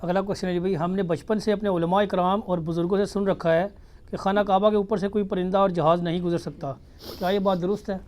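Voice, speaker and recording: A man's voice: high-pitched, fairly even intonation, fast talker, darkish tone. A man acting as moderator, reading audience questions aloud in a religious session.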